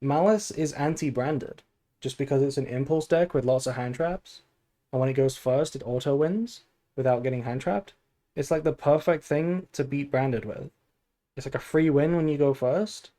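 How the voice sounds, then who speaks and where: Male streamer, mid pitch, dark soft tone, drowsy and low-key, casual commentary. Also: normal speed. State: sleepy. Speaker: game streamer. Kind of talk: casual gameplay stream chat and deck analysis.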